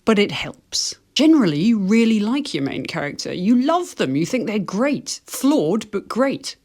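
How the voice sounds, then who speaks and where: A woman's voice: mid-range voice, big pitch swings, measured pace, a little mellow. A woman presenting a wry video essay to camera, with dry, self-deprecating humour. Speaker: video host, fiction writer.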